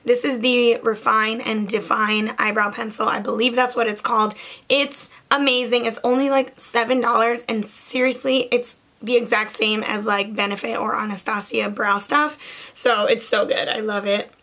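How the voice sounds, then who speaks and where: A young woman with a hoarse, raspy voice, chatting casually through a makeup tutorial with many "like" fillers. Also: on the high side, fairly even intonation, normal speed, sharp tone. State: hoarse from lost voice. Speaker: beauty vlogger.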